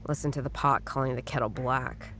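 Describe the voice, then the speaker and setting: Low-voiced, sardonic female detective. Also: steady tone, fairly quick, fairly bright tone, soft background music. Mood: sarcastic. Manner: dry, sarcastic, brusque. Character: curt, sardonic female detective, impatient and secretive. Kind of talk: noir video game voice acting.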